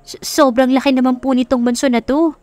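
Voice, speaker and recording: Woman acting out polite, eager young woman, high voice. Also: fairly even intonation, brisk, no echo, lightly acted. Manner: respectful, gently teasing. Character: eager, polite twenty-two-year-old woman, affectionate daughter and new tutor. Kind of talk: dramatized audiobook dialogue. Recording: music under the voice.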